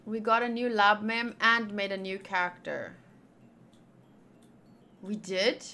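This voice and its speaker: A woman streamer plays through a visual novel in a bright, forceful voice at a middle pitch. She reads the text aloud and chats casually, thinking aloud as she goes. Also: steady tone, slow. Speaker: game streamer.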